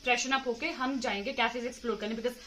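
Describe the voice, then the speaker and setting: High-pitched young woman, noisy vlog. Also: steady tone, fast talker, fairly bright tone. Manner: chatty, switches between English and local speech. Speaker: travel vlogger. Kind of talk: casual vlog narration to viewers. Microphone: handheld phone. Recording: noisy handheld outdoor phone recording.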